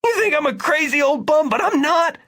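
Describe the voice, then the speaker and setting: A man voicing a frantic, disheveled man who stammers and pleads urgently in a shrill voice, in an audiobook. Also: animated, normal speed, creaky, pushed and bright, breathy edge, heavily acted. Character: frantic disheveled man chasing living toys.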